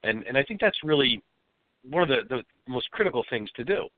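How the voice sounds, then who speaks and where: A man's voice: mid-range voice, a little fast, no room sound. Man with a cold on a tinny phone line. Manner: steady, explanatory, with many fillers. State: has a cold, slightly raspy. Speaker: self-defense instructor guest. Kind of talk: radio interview.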